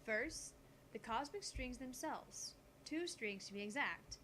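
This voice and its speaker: A woman voicing a calm, gutsy teenage genius girl who lectures on physics in a visual novel. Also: on the high side, takes it slowly, a little hiss or hum. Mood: explanatory. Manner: explanatory, lecturing.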